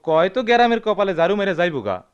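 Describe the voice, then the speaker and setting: An excitable village peacemaker in a radio drama, speaking with a rural Bangladeshi accent. His fairly high voice leaps about as he fusses, pleads and tries to keep order. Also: fast, muffled recording, very noisy. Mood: placating. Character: anxious, deferential village peacemaker, scolding and placating.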